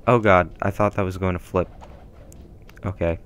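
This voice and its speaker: Young male let's-player, deep, chatty and meandering, headset mic at home. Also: steady tone, normal speed, a bit bright, slight background noise. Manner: rambling, filler-heavy, self-deprecating. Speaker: gameplay commentator. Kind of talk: casual gameplay commentary. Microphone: gaming headset mic.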